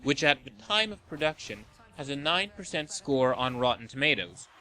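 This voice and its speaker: A man's voice: mid-range voice, very animated, normal speed, pushed and bright, poor audio. A man hosting and narrating a scripted video essay in an opinionated, sardonic manner. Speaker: host of a video game movie list show.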